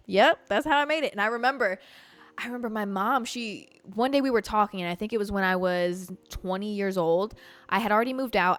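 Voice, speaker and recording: A young woman in her twenties hosts a podcast alone. She talks in a loose, unfiltered, profane style and leans on "like" all the time. Her pitch sits mid-range, and faint music plays under her voice. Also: a bit bright, no echo. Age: 20s. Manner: candid, loose and profane, heavy use of "like". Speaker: podcast host. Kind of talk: casual storytelling monologue.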